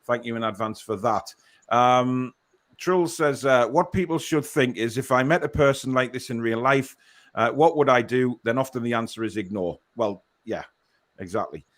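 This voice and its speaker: A man's voice: medium pitch, moderate pace, fairly bright tone. A man hosting a live football fan show, chatting casually and reading out viewer comments.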